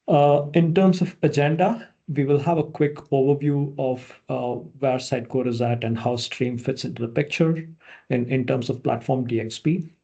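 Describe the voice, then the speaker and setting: A man from India presents over a video call in a loose, relaxed way, leaning on fillers and restarts. His voice is gravelly and mellow, with a husky edge. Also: medium pitch. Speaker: product manager presenting. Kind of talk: online conference presentation.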